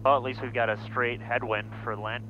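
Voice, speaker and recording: A man's voice: medium pitch, little pitch movement, brisk. A man riding along as a fellow pilot in a small plane, chatting casually through a headset. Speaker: passenger pilot filming the flight. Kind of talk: casual cockpit chat. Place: small airplane cockpit in flight. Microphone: aviation headset. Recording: muffled headset audio, faint engine noise. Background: engine hum and radio.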